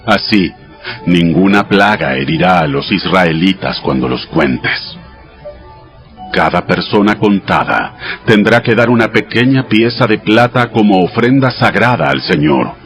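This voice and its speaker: Deep, solemn God. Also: male voice, expressive, fairly bright tone, exaggerated voice. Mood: solemn, commanding. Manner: solemn, measured, commanding. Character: God, solemn male deity giving sacred laws. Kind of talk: dramatized audio Bible. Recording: voice over clear background music.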